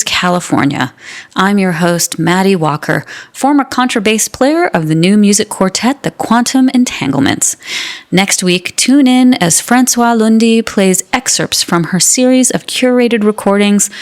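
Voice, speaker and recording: A woman's voice: mid-range voice, natural voice. Composed actress as female radio host. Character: composed woman hosting an earnest new-music radio show. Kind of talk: scripted radio sign-off in a drama.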